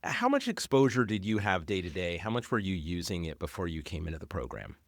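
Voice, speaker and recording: A deep-voiced man hosts a career interview, asking relaxed, probing follow-up questions in a conversational tone. His voice sits low, and the recording is dry and close. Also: brisk, no echo.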